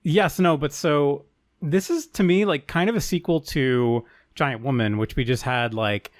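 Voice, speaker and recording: A man's voice: fairly high pitch, a little slow, no echo. Young male co-host, loose and rambling, casual swearing, close dry mic. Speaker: podcast co-host. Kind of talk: casual chat.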